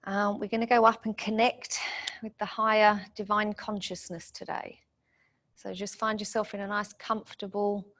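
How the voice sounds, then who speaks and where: A woman leads a guided meditation, giving soothing, gentle instructions in short phrases. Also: medium pitch.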